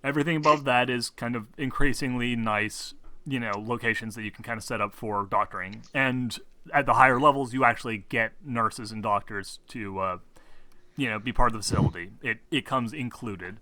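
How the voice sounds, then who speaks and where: Bright-voiced man explaining tabletop game rules. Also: medium pitch, fairly quick. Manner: hesitant, rambling delivery with casual swearing. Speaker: game master.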